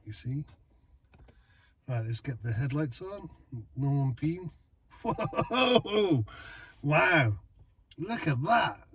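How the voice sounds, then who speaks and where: A man's voice: fairly high pitch, extremely slow, pauses often, breathy edge. A man in casual vlog chat outside at night, testing van lights, exclaiming and repeating himself as he talks. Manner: excitable, exclaims and laughs, repeats words.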